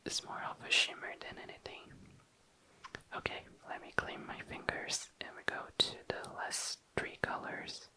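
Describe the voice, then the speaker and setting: An ASMR host whispering in a breathy, unvoiced voice, musing casually and tentatively, close to the mic. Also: moderate pace, bright, forceful tone. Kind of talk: whispered ASMR, casual makeup swatching narration.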